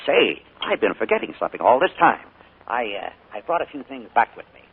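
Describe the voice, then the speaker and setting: An actor playing a charming, secretive middle-aged uncle in a 1940s radio play, genial and hearty, sometimes hushed. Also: male voice, medium pitch, big pitch swings, brisk, breathy edge, narrow, tinny audio, heavily acted. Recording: scratchy 1940s radio broadcast.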